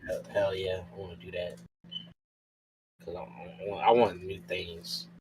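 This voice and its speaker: A man playing a tabletop roleplay game, chatting casually and haltingly, often repeating his words. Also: low-pitched, level tone, background noise.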